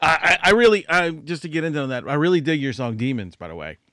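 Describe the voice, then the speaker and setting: A man's voice: fairly high pitch, animated, fast talker, bright, forceful tone, no room sound, clean studio sound. A man co-hosting a podcast, chatting casually and enthusiastically, swearing and saying "dude". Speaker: podcast host. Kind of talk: casual chat.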